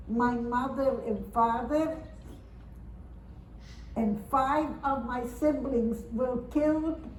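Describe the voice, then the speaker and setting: A woman in her nineties from Romania, speaking in an earnest, halting and repetitive way as she gives testimony to an audience. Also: high-pitched, a little hiss or hum. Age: 90s. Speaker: Holocaust survivor giving testimony. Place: synagogue or community hall. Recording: roomy hall recording from far off.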